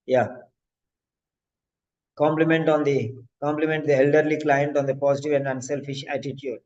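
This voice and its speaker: A male nursing teacher running a role-play practice lesson, dictating and spelling out phrases slowly. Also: fairly high pitch, flat delivery, a little mellow, muffled recording.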